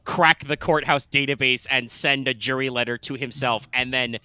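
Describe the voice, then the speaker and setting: A man running a tabletop roleplaying game as game master, relaxed and quick to joke. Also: mid-range voice, smooth, no echo.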